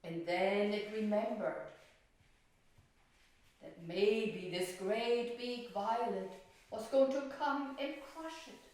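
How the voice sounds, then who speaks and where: A woman telling a story and teaching painting to young children in simple, unhurried phrases. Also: mid-range voice, a little mellow. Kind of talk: gentle storytelling and craft instruction for children. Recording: very echoey room, distant microphone.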